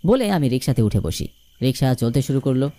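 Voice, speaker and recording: A man narrating a scripted story in the first person, expressive and dramatic. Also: medium pitch, brisk, dry recording. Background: music.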